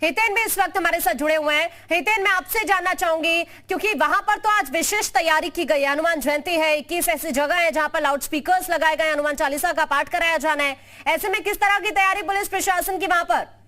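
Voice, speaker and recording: A woman news anchor presenting live coverage and handing over to reporters. Her voice is very high-pitched and bright, and she moves along at a quick pace.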